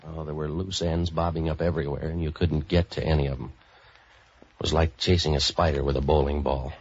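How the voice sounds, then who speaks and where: An actor playing a world-weary, wisecracking troubleshooter in a deadpan, sardonic 1940s radio detective style. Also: male voice, rumbling low, a little fast, husky, exaggerated voice. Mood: deadpan narrating. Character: world-weary, wisecracking hard-boiled private troubleshooter, a man. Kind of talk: 1940s radio detective acting, narration and dialogue. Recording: muffled old 1940s radio recording.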